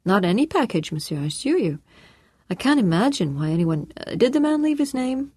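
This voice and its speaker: A woman reading the lines of a calm, guarded man, keeping a light and unworried tone. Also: mid-range voice, a little fast, husky, no echo, clean studio sound, pitched above the performer's own voice.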